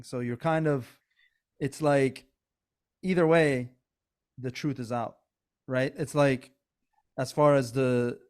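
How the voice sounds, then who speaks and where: A man's voice: medium pitch, a little mellow, clear, resonant tone. A man with a Persian accent, co-hosting a podcast in casual, earnest talk full of restarts and asides. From Iran. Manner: earnest, rambling, many restarts. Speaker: podcast co-host. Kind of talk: casual political chat.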